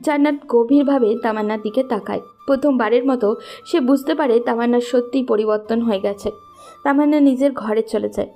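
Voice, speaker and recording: A woman's voice: a little high, brisk, mellow, soft background music. A woman narrator reading a scripted love story.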